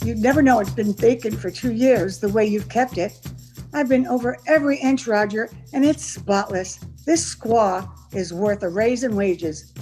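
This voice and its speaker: A woman's voice: on the high side, normal speed, faint music behind, close to an everyday voice, low-quality recording. An actress playing a domineering, hard-headed, scornful woman, bossy and impatient, in the style of a 1930s radio horror play. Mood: condescending. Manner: bossy, sharp-tongued, impatient.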